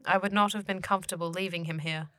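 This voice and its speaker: Female actor for otherworldly guide in fantasy audio drama: earnest, deadpan, very formal, forceful bright tone, dry close recording. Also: lowish voice, fast talker, no echo, lightly acted. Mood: patient, formal. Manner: stiffly formal, avoids contractions, deadpan.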